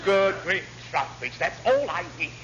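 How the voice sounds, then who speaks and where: A man's voice: sits high, very animated, measured pace, muffled recording. A man acting a pompous, exasperated middle-aged English naval officer in a classic British radio comedy, spluttering with indignation. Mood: flustered disbelief. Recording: noisy old radio recording.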